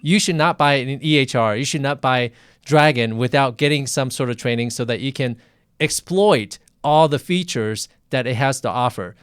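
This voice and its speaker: A man hosting a conversational live interview as a physician, speaking haltingly and repeating phrases, in a quiet sound-treated room. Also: medium pitch, clear, resonant tone, no echo, studio quality.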